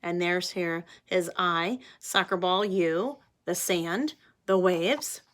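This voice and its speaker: Female teacher, storybook read-aloud, slow unhurried delivery, talks to the class. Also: fairly low pitch. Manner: slow, expressive, addresses children directly.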